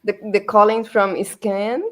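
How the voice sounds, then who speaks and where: A woman's voice: mid-range voice, level tone, a little slow. Brazilian woman chatting playfully. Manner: hesitant phrasing, playful. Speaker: podcast guest. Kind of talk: casual chat.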